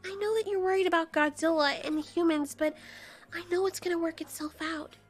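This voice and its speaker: A woman's voice: very high-pitched, fairly even intonation, fairly quick, soft background music, pitched above the performer's own voice. A woman voicing a young, sweet and chatty female monster in an audio drama, with a perky, earnest manner. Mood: reassuring.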